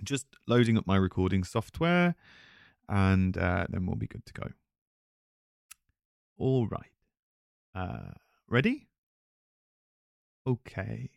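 A man's voice: fairly low pitch, very animated, slow, vocal fry, long pauses, no room sound, studio quality, heavily acted. A man playing a chatty, nervous voice actor, talking casually to a visitor in a self-conscious, hesitant, easily flustered way. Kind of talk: casual conversational audio roleplay addressed to listener.

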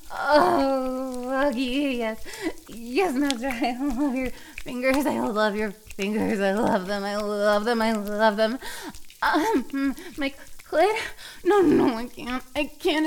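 A young woman voicing a needy, submissive catgirl in an adult audio roleplay, with breathy, sighing, stammering pleas. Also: sits high, slight background noise.

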